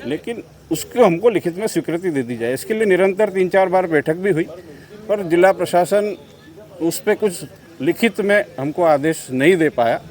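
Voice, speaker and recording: A man's voice: high voice, a little mellow. A man speaking as a community representative in an interview, explaining events in formal administrative wording. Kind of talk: spontaneous interview account.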